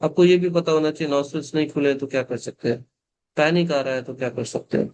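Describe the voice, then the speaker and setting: A man's voice: fairly high pitch, quick pace, darkish tone, rough recording. A man, a yoga teacher explaining breathwork on a video call, patient and reassuring, mixing English words into his Hindi. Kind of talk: online yoga class instruction.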